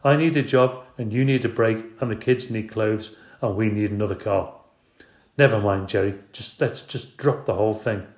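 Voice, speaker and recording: A man's voice: fairly low pitch, normal speed, hardly any pauses, reverberant, natural voice. A man reading aloud, voicing a tired, angry working mother who complains and speaks sarcastically.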